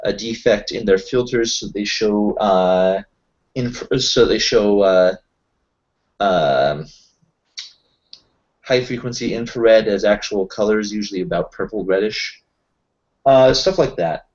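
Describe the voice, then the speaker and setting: Halting low-voiced man, muffled lo-fi sound. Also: a little mellow. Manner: halting, searching for words. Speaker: tabletop roleplaying player. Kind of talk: improvised in-character roleplay chat. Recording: dull lo-fi voice recording.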